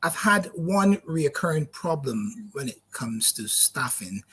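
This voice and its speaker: A man's voice: on the high side, big pitch swings, takes it slowly, pushed and bright, husky. A man from Barbados with a light Barbadian accent, talking at length as a guest in an interview, friendly and often repeating words while he thinks. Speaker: guest, platform founder. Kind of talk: interview answers. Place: studio.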